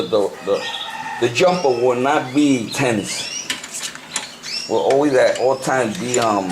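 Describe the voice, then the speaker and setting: A man with a Puerto Rican accent, a native Spanish speaker, gives a casual hands-on tutorial as a hobbyist, halting, repeating and correcting himself as he goes. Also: lowish voice. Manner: halting, repetitive, self-correcting. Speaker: amateur radio hobbyist giving a tutorial. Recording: noisy amateur camcorder sound.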